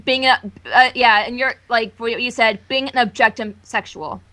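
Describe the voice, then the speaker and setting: A woman's voice: a little high, steady tone, normal speed, pushed and bright. A woman co-reading a story and chatting casually about it. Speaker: co-reader. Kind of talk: casual chat.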